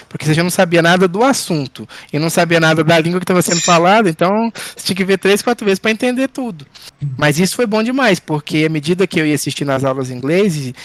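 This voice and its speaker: A Brazilian man chatting casually as an interview guest over a video call, giving relaxed, friendly advice. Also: high-pitched, no echo. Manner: relaxed, friendly advice with many "sabe?" tags.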